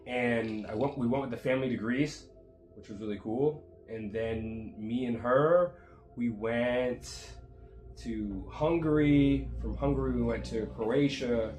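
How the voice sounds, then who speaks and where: A man's voice: medium pitch, unhurried, darkish tone, roomy sound, soft background music, rough recording. A man whose first language is Jamaican Patois, chatting casually and telling a rambling story with lots of fillers. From United States. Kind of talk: casual chat.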